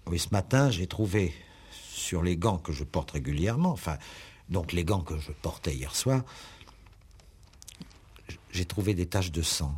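An actor playing a troubled investigating judge in a 1960s French radio play, musing and questioning hesitantly. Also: male voice, low-pitched, animated, a little slow, long pauses, darkish tone, slight background noise. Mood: baffled. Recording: old radio drama recording.

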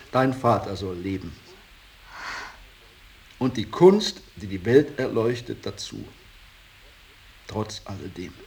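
Slow, low-voiced, rhapsodic painter. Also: male voice, heavily acted. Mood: bitter, defiant. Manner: rhapsodic, solemn, swinging from hushed to outbursts. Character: Lachmann, embittered, idealistic male painter revering his old master. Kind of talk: 1980s German radio drama acting.

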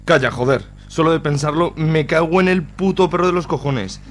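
A man's voice: a little high, normal speed, a little hiss or hum, lightly acted. A man playing a grandfather who is shaken up, hesitates and swears. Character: older grandfather, shaken and crude.

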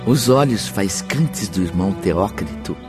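A man narrating a scripted radio drama in a dramatic, measured manner. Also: low-pitched, animated. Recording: music behind the voice.

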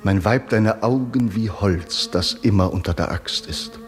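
An actor playing a solemn, entranced poet who recites incantatory verse in measured phrases for a radio drama. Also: male voice, on the low side, wildly swooping pitch, faint music behind. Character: solemn male lover-poet chanting surrealist verse, entranced.

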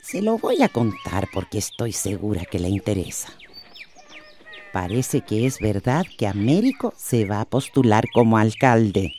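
A woman's voice: rumbling low, sing-song, measured pace, noisy background, heavily acted. A woman playing a chatty, nosy village gossip in a radio drama, teasing and confiding. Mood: gossipy, conspiratorial.

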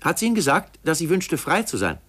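Pressing official interrogator, fast, swooping intonation, formal, vintage German radio courtroom style. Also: male voice, on the high side, huge pitch swings, fairly bright tone, slightly rough, heavily acted. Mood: insistent. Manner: formal, leading questions. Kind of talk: 1950s German radio drama acting.

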